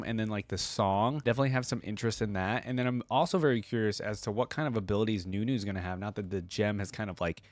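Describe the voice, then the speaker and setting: A man's voice: fairly low pitch, no room sound. A man reading a story aloud expressively and breaking off into casual, slangy commentary as a reaction video host.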